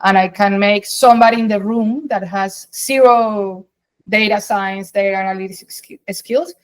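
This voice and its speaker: Guest, woman, Colombian accent, native Spanish, middle pitch, emphatic explainer. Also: fairly even intonation, normal speed. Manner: emphatic, explains in long flowing runs with rephrasings. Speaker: guest, data science executive. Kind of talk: interview answers, conversational.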